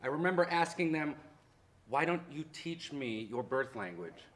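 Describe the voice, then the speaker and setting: A man's voice: on the high side, sing-song, normal speed, a bit bright. A man playing a reflective college history professor who reminisces about lost parents in a staged-reading monologue. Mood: wistful, earnest.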